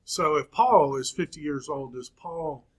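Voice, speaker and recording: A man in his fifties teaching a Bible class, speaking slowly with frequent pauses and fillers. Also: fairly high pitch, a bit bright, breathy edge, low-quality recording. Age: 50s. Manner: slow, halting, many fillers and pauses. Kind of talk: classroom lecture.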